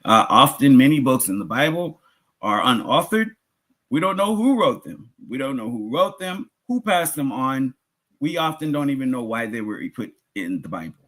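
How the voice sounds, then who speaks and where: An American man giving a religious lecture as a teacher, speaking deliberately and repeating words and phrases for emphasis, with a slightly husky voice. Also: medium pitch, sing-song, a bit bright. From United States.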